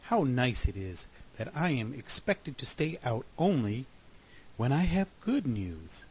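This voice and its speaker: A man voicing an excitable, self-important groundhog forecaster in an animal fable, boastful and exclaiming. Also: mid-range voice, a little slow, slight background noise. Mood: self-assured.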